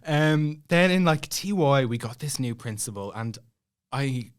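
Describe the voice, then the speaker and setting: A man's voice: mid-range voice, lively intonation, a little slow, clean tone. A young Irish man telling a story as a podcast guest in a casual, chatty, self-deprecating way. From Ireland.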